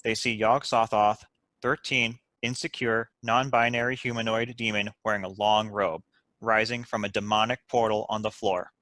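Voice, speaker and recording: A man's voice: on the low side, flat delivery, moderate pace, pushed and bright, dry recording. A man reading stage directions aloud as scripted narration for a comedy script.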